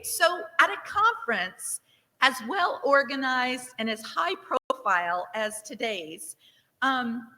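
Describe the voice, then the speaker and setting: A woman director giving lively welcome remarks to a conference audience, asking for shows of hands and cheering the answers. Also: high voice. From Nevada. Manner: upbeat, engages the crowd with show-of-hands questions. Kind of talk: interactive opening speech to a conference audience. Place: large conference hall. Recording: reverberant hall.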